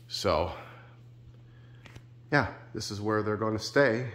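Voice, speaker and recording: A man filming a casual home vlog in his sunroom, a little nasal and stuffed up from a sinus infection, talking in a relaxed, rambling, friendly way. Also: on the low side, takes it slowly. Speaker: ceiling fan collector vlogger. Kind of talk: casual show-and-tell vlog.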